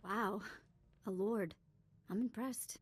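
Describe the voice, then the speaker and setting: A woman's voice: mid-range voice, slow pace, husky. An actress playing an eager, devoted young female follower of a Sith in a video game. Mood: impressed. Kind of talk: scripted game dialogue.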